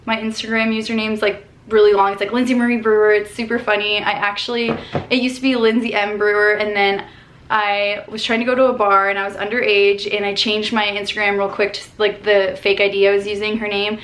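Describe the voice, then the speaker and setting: A woman's voice: medium pitch, flat delivery, a little fast, fairly bright tone. A woman in her twenties from Colorado, chatting casually and upbeat through a Q&A vlog, filled with "like" and "um", recorded in her bedroom on a camera at desk distance. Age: 20s. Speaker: vlogger.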